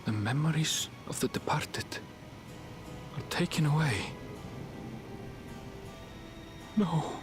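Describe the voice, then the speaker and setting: A man's voice: fairly high pitch, expressive, a little slow, music in the background. An actor playing an earnest, pleading young man searching for a loved one in a video game. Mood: distraught.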